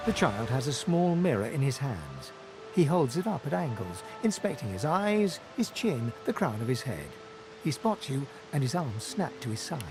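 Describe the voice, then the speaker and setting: An actor narrating a fantasy game in deliberate, storybook phrases. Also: male voice, medium pitch, very animated, over music. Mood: measured, observant. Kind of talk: scripted narration.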